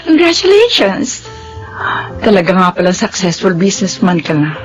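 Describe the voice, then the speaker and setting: A sentimental widow played by an actress speaks at a middle pitch with big, swooping expression. She is coy and tender, in radio drama style with faint music. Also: sing-song, moderate pace, muffled recording, lightly acted. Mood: surprised, flustered. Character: sentimental widowed mother, coy with old sweetheart.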